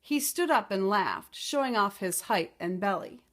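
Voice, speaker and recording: A woman's voice: medium pitch, expressive, unhurried, a bit bright. Woman reading a children's picture book aloud. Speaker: storytime reader, teacher.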